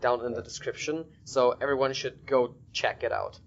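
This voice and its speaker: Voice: medium pitch, steady tone, normal speed. Podcast host on a dull, lo-fi recording. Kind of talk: casual podcast interview. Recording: dull, lo-fi sound.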